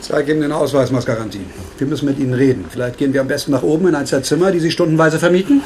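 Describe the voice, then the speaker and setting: An actor playing a wry, probing former doctor who works as a police investigator in a radio crime drama, dry and commanding. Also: male voice, fairly high pitch, rapid-fire, darkish tone, slight background noise, lightly acted. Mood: insistent, sardonic.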